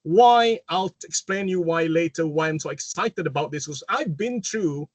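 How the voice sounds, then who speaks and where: A man's voice: a little high, moderate pace, no room sound. A man from Malaysia with a mixed Malaysian and British accent, giving an enthusiastic webinar talk over a video call, often stopping and restarting his sentences. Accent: Malaysian-British mix. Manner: animated, enthusiastic, self-interrupting. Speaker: guest speaker. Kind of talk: webinar presentation.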